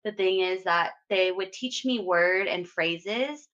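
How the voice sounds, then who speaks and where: A woman's voice: mid-range voice, takes it slowly, fairly bright tone, highs cut off. A young woman in her twenties chatting casually on a podcast, self-deprecating and laughing. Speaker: podcast co-host. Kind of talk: casual chat.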